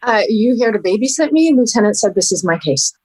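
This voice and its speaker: A woman's voice: fairly high pitch, very animated, fairly quick, darkish tone, rough recording. Dry, skeptical female detective. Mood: pointed. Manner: dry, sarcastic questioning. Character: cool, skeptical female homicide detective, smart and direct. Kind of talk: table read of a TV crime drama.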